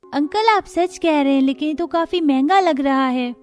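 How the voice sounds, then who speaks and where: A very high, childlike voice over music, done by a woman, playing a sweet and eager little kid in a cartoon fable. The delivery is simple and keen. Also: normal speed, a little mellow, lightly acted. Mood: admiring. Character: eager, sweet young child in a poor family. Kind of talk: Hindi cartoon story acting.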